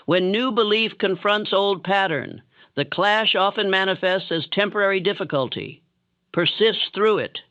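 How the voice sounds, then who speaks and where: A man reading a scripted motivational sleep audiobook in a calm, steady, solemn manner. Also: sits high, clear, resonant tone, no echo, lo-fi sound. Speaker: audiobook narrator.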